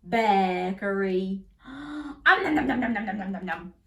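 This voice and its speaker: A woman's voice: mid-range voice, animated, measured pace, a bit bright. A woman reading a children's bedtime story aloud, playful and interactive with young listeners. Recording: roomy echo.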